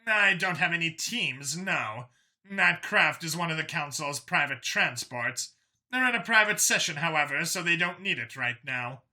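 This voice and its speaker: A male reader plays a mission handler in a sci-fi audiobook. The voice sits high and sharp, with a brisk, official manner. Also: expressive. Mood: brisk, informative.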